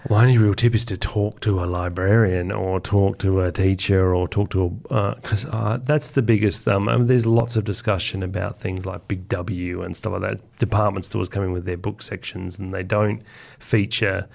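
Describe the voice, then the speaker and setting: Aussie guy being interviewed, lowish gravelly voice, chatty and informal, kinda rambly. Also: steady tone, moderate pace, darkish tone. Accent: Australian. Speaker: interview guest, children's author. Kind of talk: casual conversational interview.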